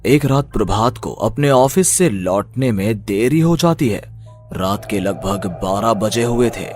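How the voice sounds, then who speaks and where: A man's voice: lowish voice, lively intonation, measured pace, music in the background. A man narrating a scripted horror cartoon story.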